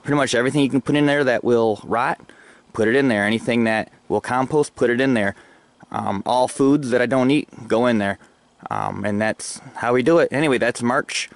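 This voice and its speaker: An American man giving casual, halting commentary as he thinks aloud, recorded outdoors on a handheld camera. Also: mid-range voice, no echo. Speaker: gardening vlogger. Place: outdoors in a snowy fenced backyard. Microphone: handheld camera at arm's length. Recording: clear outdoor background noise.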